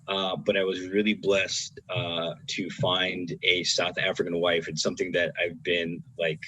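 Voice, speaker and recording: A man's voice: low-pitched, level tone, unhurried, pushed and bright, slight background noise, rough recording. A man telling his own story as a panelist, conversational, with many fillers and repeats. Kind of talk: personal story on a video call.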